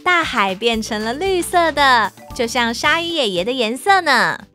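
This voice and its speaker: A woman's voice: high-pitched, big pitch swings, a little slow, sharp tone, exaggerated voice. A woman playing a friendly storyteller, narrating a children's cartoon with a Taiwanese Mandarin accent. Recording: children's music behind.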